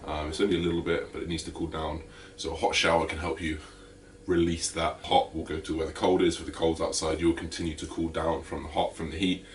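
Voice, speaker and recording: A man with a deep, slightly raspy voice, talking casually to camera in a fitness vlog with lots of fillers. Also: brisk, distant mic, a little hiss or hum. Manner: energetic, chatty, full of fillers. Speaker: fitness vlogger.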